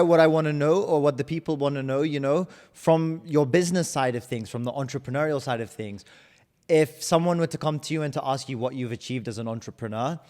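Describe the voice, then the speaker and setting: A man's voice: mid-range voice, darkish tone. Enthusiastic male podcast host. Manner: enthusiastic, repeats words when starting sentences. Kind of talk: interview questions, casual chat. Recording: long hall reverb.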